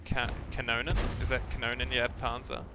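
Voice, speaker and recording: Guy streaming a game, kinda croaky, rambly hesitant chat, battle noise and engines behind him. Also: mid-range voice, completely flat delivery, brisk. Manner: rambling, hesitant, thinking aloud. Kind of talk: casual chat while gaming, reading out chat. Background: game artillery fire and vehicle engine sounds.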